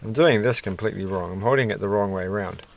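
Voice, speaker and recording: A man rambling softly to himself while building a toy kit at his desk at night, self-deprecating and a bit tired. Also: on the low side, fast talker, vocal fry. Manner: soft-spoken, muttering to himself, self-deprecating. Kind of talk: casual rambling build commentary.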